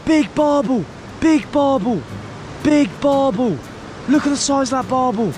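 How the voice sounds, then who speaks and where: A young man giving casual, excitable fishing commentary, exclaiming and repeating his phrases outdoors by a river. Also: very high-pitched, a little hiss or hum. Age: young adult. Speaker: angler vlogger. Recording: outdoor action camera with music and rushing water. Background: music, rushing water.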